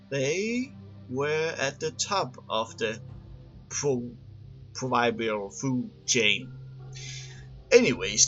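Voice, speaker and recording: Guy narrating game lore, normal pitch, trips over words a bit, some music in the background. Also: a bit bright, muffled recording. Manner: halting reading, stumbles and repeats words. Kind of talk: read-aloud narration.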